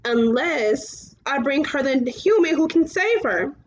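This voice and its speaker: A woman's voice: high-pitched, expressive, takes it slowly. A woman voicing a weary, determined young boy hero in a storybook, questioning and stubborn. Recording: muffled, clearly noisy home recording.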